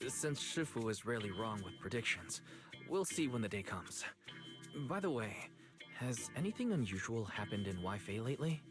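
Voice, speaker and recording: An actor voicing a calm, sensible young man for hire in an anime video game. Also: fairly low pitch, moderate pace. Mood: polite. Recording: game music behind the voice.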